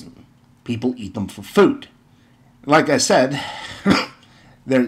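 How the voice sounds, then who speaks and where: Male commentator, medium pitch, informal retelling and opinion, sarcastic emphasis, exasperated rhetorical questions. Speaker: video commentator.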